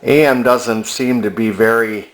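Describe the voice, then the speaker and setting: An older man giving a casual repair demonstration as a hobbyist, halting as he thinks aloud. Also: mid-range voice, steady tone. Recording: background music from vintage radio and turntable.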